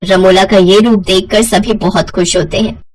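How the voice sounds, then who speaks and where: A woman's voice: mid-range voice, fairly even intonation, moderate pace, a little hiss or hum, poor audio. A woman narrating a scripted moral story as its storyteller.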